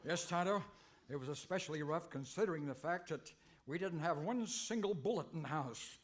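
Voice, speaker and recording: An actor playing a bumbling, earnest, mildly exasperated cowboy hero in a comic old-time radio western. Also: male voice, on the high side, animated, a little fast, natural voice. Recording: faintly noisy live stage recording.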